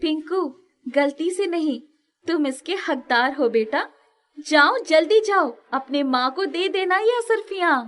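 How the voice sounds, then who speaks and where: Woman voicing a motherly village wife, high and lively. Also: unhurried. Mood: insistent, generous. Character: generous, affectionate older village wife. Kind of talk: animated moral story character dialogue.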